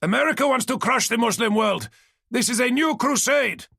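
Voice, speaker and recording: Narrator as an angry young male protester, high and loud. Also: animated, fast talker, no echo, studio quality. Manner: angry declaiming.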